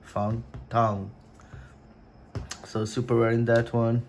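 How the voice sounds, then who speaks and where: A young man whose first language is Portuguese, chatting casually at home in his gaming room, with lots of filler words and sudden excited bursts. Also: mid-range voice, slow pace. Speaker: card opening vlogger. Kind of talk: casual unboxing chat. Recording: faint crinkling and desk noise.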